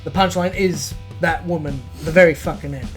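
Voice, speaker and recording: A man with an Australian accent and a slightly high-pitched voice chats as a podcast co-host. He is informal and unscripted, dropping swear words freely. Faint music sits behind his talk. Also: steady tone, normal speed. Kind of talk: casual off-the-cuff podcast chat.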